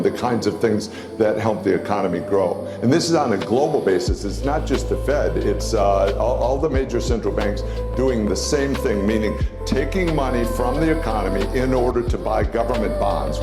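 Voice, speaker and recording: A man giving unscripted financial commentary in an interview, explaining things in halting phrases. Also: on the low side. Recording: echoey, music under the voice.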